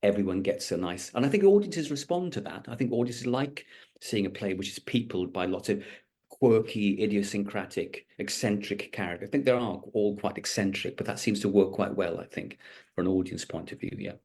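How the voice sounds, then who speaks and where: A man's voice: lowish voice, fairly quick, a little mellow. A middle-aged man with a light Welsh accent, a stage actor answering interview questions thoughtfully, with frequent restarts. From Wales. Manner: thoughtful, many restarts and fillers.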